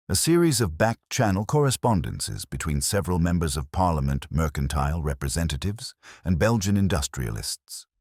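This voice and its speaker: Storybook archive official, read by a man: guarded, polite, careful, formal, very low-pitched, close dry sound. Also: darkish tone, no echo. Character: guarded, courteous male senior records official. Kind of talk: audiobook character reading.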